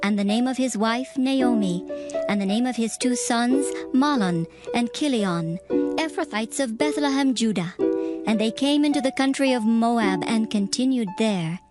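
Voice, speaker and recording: A woman narrator reading scripture as a scripted dramatized story, in a measured, solemn storytelling manner. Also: fairly high pitch. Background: music.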